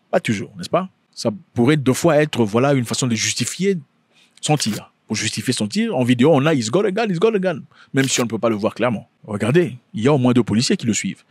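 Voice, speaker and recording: A man's voice: medium pitch, breathy edge, no echo. A man whose first language is not French, commenting on a news video in a casual style, asking many rhetorical questions and often restarting his words.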